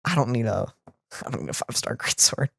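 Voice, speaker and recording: A laid-back man co-hosting a casual game reaction, chiming in with short answers and dry one-liners. His voice sits in a middle pitch and sounds dry and close on the mic. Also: expressive, fast talker, gravelly, a bit bright, slightly rough, no room sound. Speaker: co-host of a game reaction channel.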